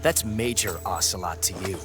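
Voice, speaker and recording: An actor playing a cocky, theatrical villainous military commander in a video game, smug and taunting. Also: male voice, sits low, normal speed, close to an everyday voice. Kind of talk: dramatic video game voice acting. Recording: loud music behind the voice. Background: loud music.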